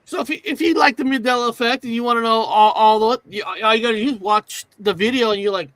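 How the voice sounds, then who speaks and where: A man's voice: very high voice, fast talker, fairly bright tone, no echo. A male co-host of a live online show, chatting casually and rambling, often restarting his sentences and chuckling between remarks. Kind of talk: casual live-stream chat.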